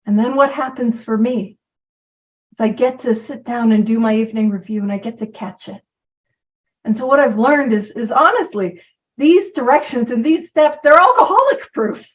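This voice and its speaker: A woman's voice: a little high, expressive, moderate pace, distant mic, lo-fi sound. A woman giving an informal talk about recovery, chatty and self-deprecating, who drifts from point to point. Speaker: recovery program speaker.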